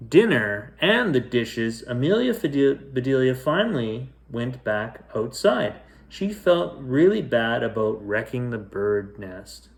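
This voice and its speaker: A man narrating a children's storybook as a bedtime reader, telling it phrase by phrase. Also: mid-range voice.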